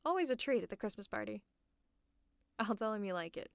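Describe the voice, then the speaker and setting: A woman performing an audio roleplay, talking softly and teasingly to the listener as if to a friend. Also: mid-range voice, lively intonation, brisk, creaky, no room sound, clean studio sound. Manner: soft, caring, teasing, often near a whisper. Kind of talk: ASMR roleplay, speaking to the listener.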